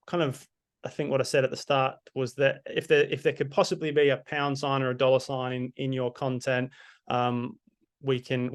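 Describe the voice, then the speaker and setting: A man's voice: medium pitch, clean tone. A British man giving a webinar presentation over a video call as a copywriter, hesitant, with frequent fillers and restarts. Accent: non-Mancunian British. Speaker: copywriter, guest speaker.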